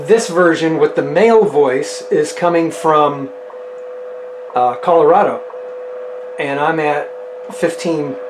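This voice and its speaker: A man's voice: a little high, echoey room, noisy background. A man hosting a hobby video, thinking aloud as he gives a casual hands-on demonstration to the viewer. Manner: thinks aloud while tinkering. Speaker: host of a radio hobby video.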